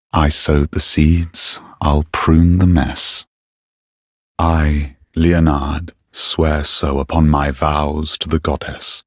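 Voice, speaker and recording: A sly, menacing knight turned assassin from a dark fantasy game. He speaks very low and unhurriedly, with creaky gravel under a silky, haughty formality. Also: dry recording, clean studio sound, heavily acted. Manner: silky, deliberate, theatrically formal. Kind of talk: video game character dialogue.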